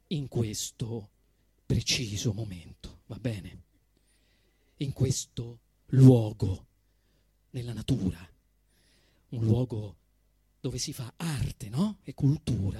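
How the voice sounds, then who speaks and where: A man with a Roman accent performing a satirical monologue on stage, emphatic and swinging between deadpan restraint and outrage. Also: mid-range voice, slightly rough, no room sound, studio quality. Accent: Roman Italian. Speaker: actor performing a comic monologue. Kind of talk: theatrical satirical monologue to a live audience.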